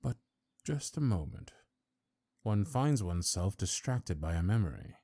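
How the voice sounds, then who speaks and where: A stately, ancient high councilor from a fantasy audio drama, acted by a man. The voice is low and soft-edged, with an expressive, rolling melody. He speaks formally and pompously, laying on courtesy with sly condescension. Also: unhurried, breathy edge, heavily acted. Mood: slyly probing. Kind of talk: audio roleplay fantasy acting.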